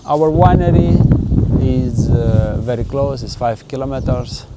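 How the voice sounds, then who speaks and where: A man from Moldova with a Romanian accent, a native Romanian speaker, answering interview questions about his winery's exports in halting English full of pauses and fillers. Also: mid-range voice, heavy background noise. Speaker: winery export sales manager.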